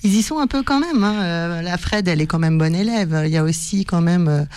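A woman's voice: on the low side, fairly quick, darkish tone, dry recording, clean studio sound. A woman in her forties giving her opinion on a film, hesitant, with many fillers and small laughs. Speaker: guest reviewer. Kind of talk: casual film review chat.

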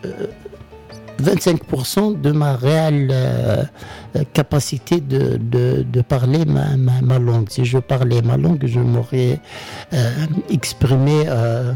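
A male radio host, not a native French speaker, talking reflectively and haltingly, often pausing to search for words. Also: mid-range voice, fairly even intonation, vocal fry, mellow, soft background music. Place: radio studio.

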